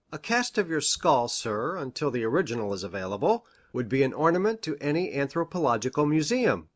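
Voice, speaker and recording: Give this storybook figure a lively, swooping delivery from a woman putting him on. He is an eccentric man of science and medicine, pedantic and formal, pitched down low. His manner is earnest and effusive, with great care over exact wording. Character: eager, pedantic, eccentric male country doctor and scientist. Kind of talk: audiobook character voice.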